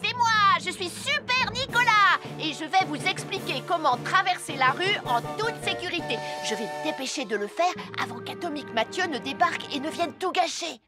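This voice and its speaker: Very high-pitched cheeky cartoon boy. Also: lively intonation, normal speed, hardly any pauses. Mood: proud, showy. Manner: cocky, exaggerated. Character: cheeky, boastful little boy playing superhero. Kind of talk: French children's cartoon dubbing. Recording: music behind the voice.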